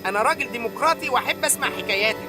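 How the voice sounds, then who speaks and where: A man's voice: extremely high pitch, animated, rapid-fire, music in the background. A man voicing a cocky, naive Egyptian pilot in a comic cartoon, bantering in streetwise slang. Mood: excited, bantering.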